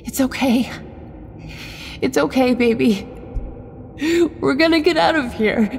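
A woman's voice: sits high, slow, creaky, a little hiss or hum, voice pushed up, full character voice. A woman voicing a frightened, protective mother in a horror story, urgent and soothing through her fear. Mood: soothing, fearful.

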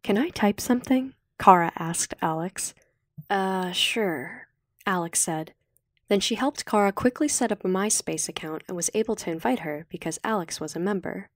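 A woman's voice: on the low side, fairly quick, no echo, studio quality. A woman narrating an audiobook story in a scripted reading style. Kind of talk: scripted fan-fiction narration. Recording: clearly noisy home recording with clicks.

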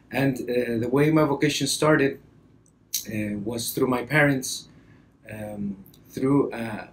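Man, early thirties, Costa Rican Spanish accent, priest, halting personal introduction, medium pitch, some room noise. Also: poor audio. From Costa Rica. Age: early 30s. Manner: hesitant, halting delivery with frequent pauses. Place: indoor office or library, seated at a desk.

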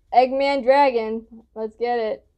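A woman's voice: a little high, fairly even intonation, slow pace, dark tone, clear, resonant tone. A young woman giving casual reaction commentary as she hosts a video, recorded indoors in a small room. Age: young adult.

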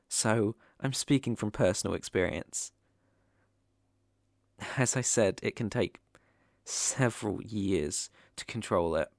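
A British man playing a gentle, protective werewolf mentor in an ASMR roleplay, speaking softly, tenderly and reassuringly. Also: fairly low pitch, no room sound, clean studio sound. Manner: soothing, unhurried, tender.

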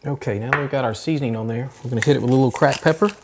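Cooking host, man in his 40s, easygoing step-by-step delivery, mid-pitched, faint hiss behind him. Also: racing. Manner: relaxed step-by-step instruction. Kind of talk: casual cooking demonstration. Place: home kitchen, indoors.

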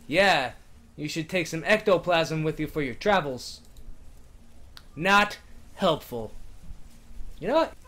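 A man playing a horror game and commenting on it, loud, excitable and sarcastic, swearing freely and reading the game's lines aloud. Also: on the high side, slow, long pauses. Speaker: game streamer. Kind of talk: let's play commentary.

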